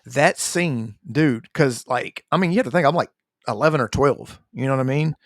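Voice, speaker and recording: A man's voice: medium pitch, moderate pace, no echo, studio quality. A man co-hosting a casual gaming podcast, telling things in an emphatic, slangy, sweary way. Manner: slangy, emphatic storytelling, profane. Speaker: podcast co-host. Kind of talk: casual chat about video games.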